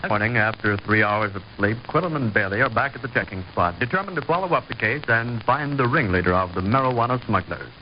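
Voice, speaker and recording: A man's voice: lowish voice, animated, fast, hardly any pauses, close to an everyday voice. A man reading scripted narration for an old-time radio drama. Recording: muffled, noisy old radio recording.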